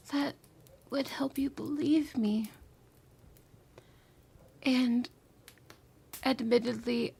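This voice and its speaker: A woman acting a lovesick, obsessive former bully back from the future in an intimate ASMR roleplay, speaking in an emotional, tender, halting way with a breathy voice that often drops to a whisper. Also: sits high. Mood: tender confession.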